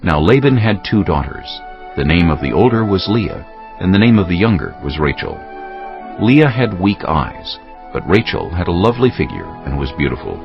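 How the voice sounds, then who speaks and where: A man's voice: low voice, sing-song, normal speed, creaky. A man narrating a dramatized audio Bible in a solemn storytelling style. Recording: slightly dull sound, music underneath. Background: music.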